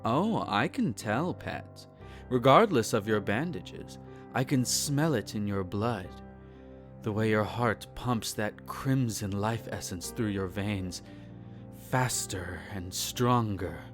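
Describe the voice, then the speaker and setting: Man voicing a courtly vampire master, slow, swooping intonation, intimate, teasing, possessive, formal phrasing, roleplay audio with music behind. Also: fairly low pitch, animated. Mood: hungry, savouring. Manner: formal, teasing, savouring phrasing with sighs and soft chuckles. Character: possessive, courtly male vampire lord doting on captive pet. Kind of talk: intimate roleplay audio acting, partly whispered.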